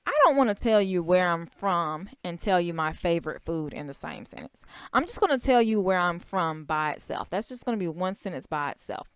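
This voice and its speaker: A woman's voice: mid-range voice, fairly bright tone, clear, resonant tone, no room sound. A young woman teacher explaining a writing worksheet to young children step by step, in a patient, careful way. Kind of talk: recorded writing lesson over a worksheet.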